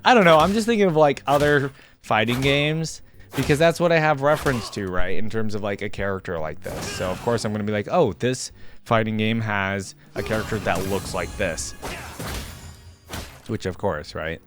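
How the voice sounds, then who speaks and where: A man's voice: on the low side, moderate pace, music in the background. A man giving casual, informal reaction commentary, rambling along as he talks straight to his viewers. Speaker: reaction video host.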